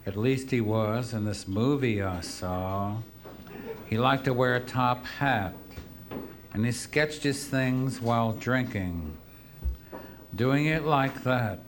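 A man reading his poems aloud to an audience, drunk, gruff and profane, rambling between pieces. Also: medium pitch, slight background noise. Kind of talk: live poetry reading with rambling asides.

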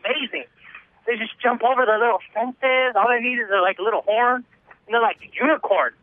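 A man's voice: very high-pitched, brisk. A man calling into a sports radio show, rambling in a goofy, offhand way. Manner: goofy, rambling, says "dude". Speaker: caller. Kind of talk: rambling call-in chat. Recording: tinny phone line.

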